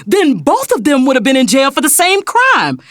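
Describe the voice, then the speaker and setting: A woman plays a determined female attorney in an audio drama. Her voice sits fairly high and moves in lively swings, recorded close and dry. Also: no room sound, full character voice. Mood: pleading, defensive. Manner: formal, measured in court. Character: determined female defense attorney, dutiful elder sister.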